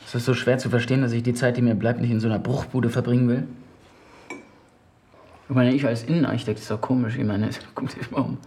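An actor playing a jealous, sarcastic husband in a naturalistic radio drama, curt and needling. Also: male voice, mid-range voice, steady tone, fast, warm tone, slight background noise, natural voice. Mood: defensive, irritated. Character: tense, jealous, sarcastic husband, an interior designer.

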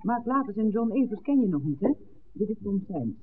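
A woman's voice: medium pitch, very animated, brisk, narrow, tinny audio, close to an everyday voice. An actress playing an outspoken young village woman, an innkeeper's daughter, in a 1960s radio drama. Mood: friendly. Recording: thin, hissy old radio recording.

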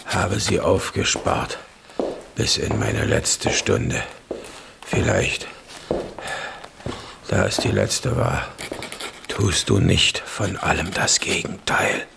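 An actor playing a stern, bitter old dying king in a German radio play, halting and commanding. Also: low voice, sing-song, noisy background, full character voice. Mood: bitter, resigned. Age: older. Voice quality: gravelly, breathy, weakened voice. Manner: halting, laboured, commanding delivery.

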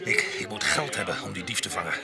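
A male actor playing a rough, bitter grape picker with a violent past in a Dutch radio drama, gruff, cursing and sardonic. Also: on the low side, fast talker. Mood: bitter, angry. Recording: busy crowd noise behind.

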